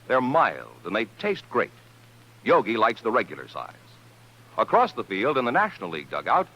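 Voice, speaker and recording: A man's voice: medium pitch, sharp tone, slightly rough. A man delivering a 1950s radio advertisement with an emphatic, polished sales delivery. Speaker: commercial announcer. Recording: dull vintage radio recording.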